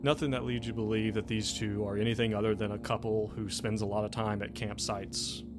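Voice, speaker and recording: A man's voice: on the low side, flat delivery, a little fast. A man narrating a tabletop game as game master, improvising and searching aloud for words. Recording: music behind the voice. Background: background music.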